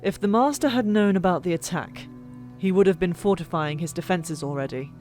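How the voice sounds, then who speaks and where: A woman reading a fantasy novel as an audiobook narrator, expressive and dramatic, with hushed asides and pauses. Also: fairly low pitch. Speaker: narrator of a fan-made audiobook. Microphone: old microphone. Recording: music bed, older microphone. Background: background music.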